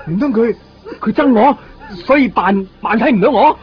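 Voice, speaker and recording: An actor playing an insecure, excitable, wistful young man in a radio drama, speaking with a Hong Kong Cantonese accent. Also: very high-pitched, normal speed, very noisy. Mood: stunned, agitated. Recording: dull 1990s radio recording, faint music.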